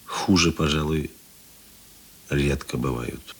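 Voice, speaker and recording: Deep-voiced weary middle-aged detective, husky, thoughtful, gentle, radio drama. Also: male voice, exaggerated voice. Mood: earnest, thoughtful. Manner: measured, self-deprecating, reflective. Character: weary, thoughtful, kind police investigator, middle-aged man. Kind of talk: Russian radio play acting.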